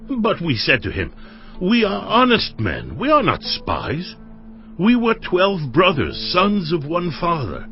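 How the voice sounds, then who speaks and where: A man's voice: high voice, wildly swooping pitch, takes it slowly, full character voice. An actor playing an anxious, deferential man who has come to buy grain, in a dramatized audio Bible. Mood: earnest, reporting. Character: anxious, pleading brother, humble male grain buyer. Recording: dull audio with music.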